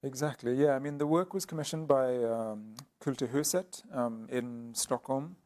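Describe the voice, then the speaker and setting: Artist guy talking about his work, lots of ums and pauses, middle pitch. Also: darkish tone. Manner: hesitant, many ums and restarts. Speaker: artist guest. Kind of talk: thoughtful conversational interview.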